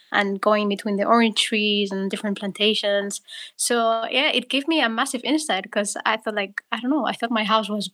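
A woman's voice: medium pitch, a bit bright. A woman from Spain, a podcast guest, telling a story in an easy, chatty way. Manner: chatty, storytelling with fillers and laughs. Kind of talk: casual interview chat.

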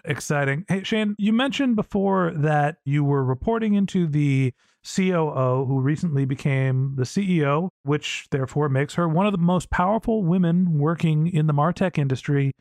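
A man with a somewhat high voice hosts an interview podcast. He sounds close and dry, with no room echo, as he sets up and asks structured questions in conversational language. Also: normal speed, few pauses, a little mellow.

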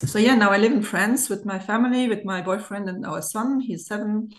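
A woman's voice: mid-range voice, a little fast. A woman in her thirties with a German accent, talking in a chatty, informal way as an interview guest. Her voice sits mid-range and comes through with a noticeable room echo. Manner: chatty, giggles often as she talks. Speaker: podcast guest. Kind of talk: casual chat. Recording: roomy, echoey remote recording.

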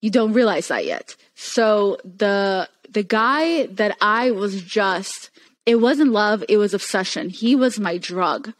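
A woman's voice: medium pitch, slow. A young woman whose first language is Hebrew, speaking as a podcast guest and telling her story in a candid, earnest way. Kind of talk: casual podcast conversation.

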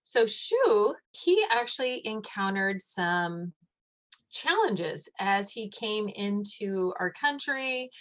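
Interview host: woman, mid-pitched voice, eager and repetitive in her introductions. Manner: enthusiastic, repeats herself. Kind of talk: interview hosting.

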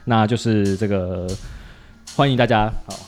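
A man's voice: on the low side, moderate pace. A man with a Taiwanese Mandarin accent hosting a casual podcast chat in an informal, joking way, often repeating short interjections. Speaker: podcast host.

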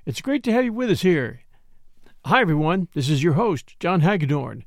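Creaky-voiced male podcast host, lively pitch. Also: moderate pace, no echo, clean studio sound. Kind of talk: podcast introduction.

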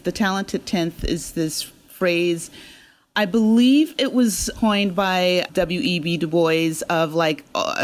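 A woman speaking as an interview guest, a writer explaining her work conversationally and thoughtfully. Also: lowish voice. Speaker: guest, audio drama writer and creator.

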